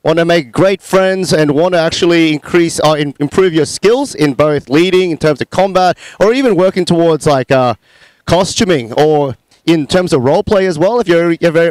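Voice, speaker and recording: A man's voice: fairly high pitch, brisk, darkish tone, dry recording, low-quality recording. A young man hosting a casual interview outdoors with a handheld mic, hesitant with many fillers. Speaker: larp club leader, host. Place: outdoors at a convention, sunny courtyard.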